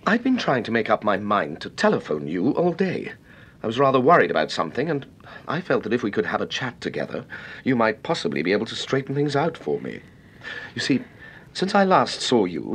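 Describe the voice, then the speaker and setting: An actor playing a courteous, anxious English gentleman in a vintage radio drama, with a British accent and hesitant, formal speech. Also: mid-range voice. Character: polite, anxious English gentleman, earnest and apologetic. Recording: noisy old radio drama recording.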